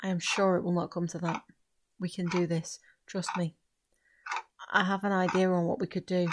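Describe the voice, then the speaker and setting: A woman's voice: fairly low pitch, level tone, moderate pace, noisy background, lightly acted. A woman playing a composed, bossy young witch in an audio drama, speaking in firm, formal, instructive phrases. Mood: reassuring.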